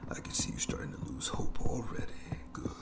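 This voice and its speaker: A man's voice: brisk, natural voice. A man voicing a sadistic, playful monster in a horror ASMR roleplay, whispering with taunting mock courtesy. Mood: taunting, savoring. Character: sadistic, playful, menacing monster lurking in its lair.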